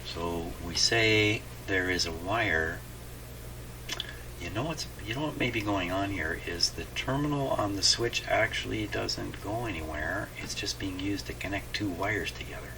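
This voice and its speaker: A man's voice: low-pitched, unhurried. A man doing unscripted hands-on repair commentary, thinking aloud in a hesitant, puzzled way as he works through a problem. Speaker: radio repair host. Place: repair shop, voice away from the mic. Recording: distant mic, clear background noise.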